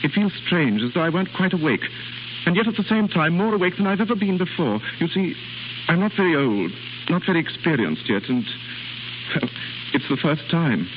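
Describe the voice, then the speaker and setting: An actor playing an earnest, lovestruck young English nobleman, halting and intense, in 1930s radio drama style. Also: fairly high pitch, very animated. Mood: ardent. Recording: muffled, noisy 1930s radio recording.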